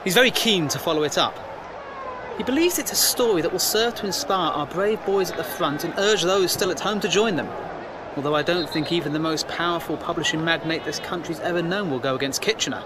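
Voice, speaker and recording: A man's voice: fairly high pitch, a little fast, crowd noise, background noise, natural voice. An actor playing an earnest, questioning First World War newspaperman, well-spoken, formal and wry, in an audio drama. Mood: earnest, probing. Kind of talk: period audio drama acting.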